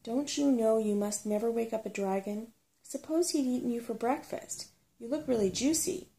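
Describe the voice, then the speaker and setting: A fearless young forest brownie girl from a storybook, as a woman reader puts her on. She grumbles and teases, brushing others off. The voice has a creaky, mellow sound. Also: on the high side, normal speed. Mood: cheeky, teasing. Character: young female forest brownie creature, grumpy, cheeky, fearless.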